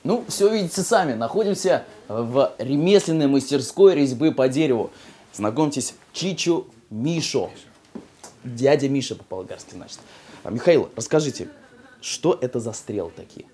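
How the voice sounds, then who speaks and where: A man's voice: medium pitch, animated, normal speed, mellow, slightly rough, faint music behind. A young man hosting a travel show, chatty and playful, chatting with people on an old town street and now and then breaking into song. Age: young adult. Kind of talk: travel vlog narration and street chat.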